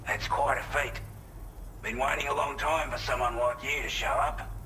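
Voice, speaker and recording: A forceful, bright-edged Australian man, played by an actor, briefing a courier in a video game. He is plain-spoken and earnest, talking conversationally at an ordinary male pitch. Faint background ambience sits under the voice. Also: fairly even intonation, normal speed, a little hiss or hum, natural voice. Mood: appreciative, casual. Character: matter-of-fact male shelter resident, allied quartermaster briefing a courier. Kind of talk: video game cutscene dialogue, mission briefing.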